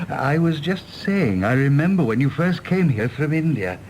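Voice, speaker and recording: Old English family retainer, radio drama, fussy, courteous, deferential, animated pitch, faint noise. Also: male voice, normal speed, poor audio. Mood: genial, courteous. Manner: courteous, formal, hesitant. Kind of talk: British stage-play radio drama acting.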